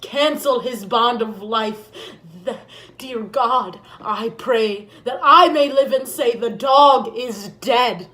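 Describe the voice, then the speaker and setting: A woman's voice: fairly high pitch, slow pace, bright, forceful tone. A woman playing a grieving, vengeful deposed queen in a Shakespearean stage monologue, declaiming solemnly and bitterly. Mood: fierce cursing. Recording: echoey room.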